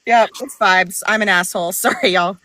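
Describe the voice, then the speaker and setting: Woman, author co-hosting a video call, bright voice, dry close sound, lively and laughing. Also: medium pitch, normal speed, dry recording. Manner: animated, laughing, swears freely. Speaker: author and co-host. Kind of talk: casual panel chat.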